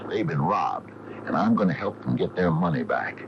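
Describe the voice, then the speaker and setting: A man's voice: deep voice, animated, moderate pace, gravelly. An actor playing an indignant, stubborn small-town man in a radio mystery drama, speaking emphatically with folksy phrasing. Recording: muffled, noisy 1980 AM radio broadcast.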